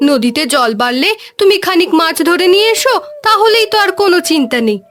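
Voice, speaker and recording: A woman playing a steady, comforting village wife. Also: extremely high pitch, fast, slight background noise, full character voice.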